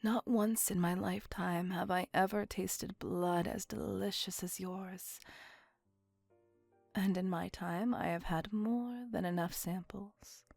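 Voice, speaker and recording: Woman playing a breathy, whispering ancient vampire lady. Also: medium pitch, fairly even intonation, takes it slowly, a little mellow, no room sound, soft background music. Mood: doting, reassuring. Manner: formal old-fashioned wording, languid, doting. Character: ancient female vampire, possessive, tender, nostalgic. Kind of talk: ASMR roleplay, intimate scripted monologue. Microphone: close microphone.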